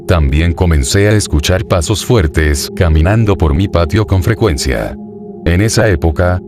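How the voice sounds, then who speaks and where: Deep-voiced man narrating horror over music. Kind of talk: scripted narration of a horror story. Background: background music.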